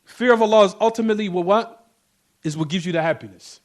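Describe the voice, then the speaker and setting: A man's voice: high voice, quick pace. A man giving an informal Islamic lecture, emphatic and repetitive, often checking in with rhetorical questions. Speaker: religious teacher giving a class.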